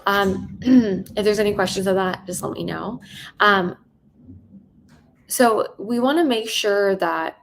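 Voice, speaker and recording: A woman sales coach, soft-spoken and empathetic, who teaches through role-play and keeps checking in with "right?". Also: medium pitch, slow pace, gravelly, long pauses, a little hiss or hum.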